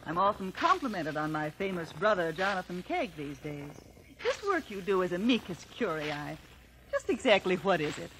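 A woman's voice: on the low side, very animated, moderate pace, fairly bright tone. A woman playing an admiring, sociable American sister, polite and effusive, in an old-time radio drama. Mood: admiring, curious. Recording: slightly noisy vintage radio recording.

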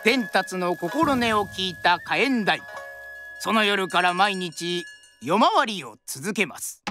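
A woman's voice: mid-range voice, expressive, slow pace. A woman narrating in a theatrical Japanese kodan storytelling style, with dramatic delivery and formal, polite phrasing. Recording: music behind the voice.

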